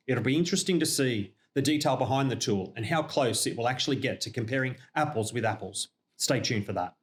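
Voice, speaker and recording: An Australian man giving scripted informational narration as a company representative, in a measured, explanatory and businesslike manner. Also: mid-range voice. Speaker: company representative presenting a newsreel update.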